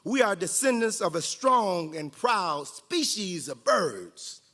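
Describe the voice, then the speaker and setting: A man's voice: sits high, big pitch swings, slow pace, sharp tone, exaggerated voice. A man voicing a proud, wise eagle leader from a storybook, rallying its kin with bold, preacher-like conviction. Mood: proud, preaching. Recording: echoey hall with long reverb.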